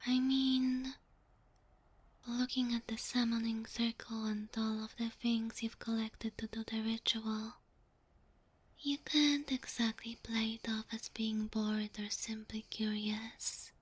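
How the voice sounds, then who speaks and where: A sweet, bashful kitsune girl, played by a woman for an ASMR roleplay. Her voice is high and very breathy, often sinking into a whisper. She speaks slowly and gently, with shy, hesitant, submissive warmth. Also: flat delivery. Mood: tender, curious. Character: sweet, shy, submissive young female kitsune fox spirit familiar.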